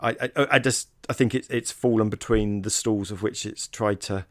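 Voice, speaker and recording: A man co-hosting a podcast, casually giving opinions in a halting, word-repeating way. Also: lowish voice, steady tone, no room sound, studio quality. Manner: hesitant, repeats words, opinionated. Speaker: podcast co-host. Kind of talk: casual film discussion chat.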